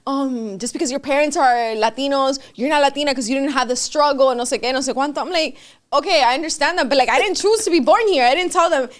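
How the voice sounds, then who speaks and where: Bright-pitched young woman mixing Spanish in. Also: quick pace. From Miami, United States. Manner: animated, mixes Spanish into English. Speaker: podcast host. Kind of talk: casual interview chat.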